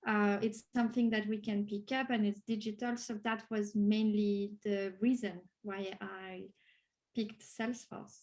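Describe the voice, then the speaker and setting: A woman's voice: mid-range voice, flat delivery, measured pace, darkish tone. A woman from France with a French accent, a native French speaker, answering interview questions conversationally. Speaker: course graduate being interviewed.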